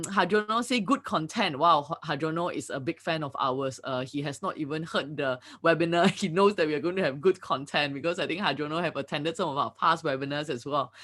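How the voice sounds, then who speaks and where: Bright, chatty woman hosting. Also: medium pitch. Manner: chatty and upbeat, heavy with fillers like "you know, like, uh". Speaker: webinar host. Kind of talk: hosting a live online webinar.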